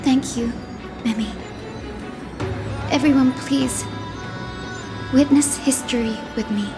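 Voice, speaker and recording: A high young female voice over clear music, a gentle priestess from a fantasy game. She speaks softly and politely, with great earnestness. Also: slow. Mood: grateful, solemn. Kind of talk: video game voice acting.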